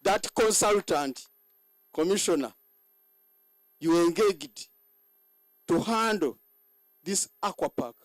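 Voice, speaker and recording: A hoarse man giving a boastful ceremony speech as general manager, halting and repeating words. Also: sits high, animated, no echo, poor audio.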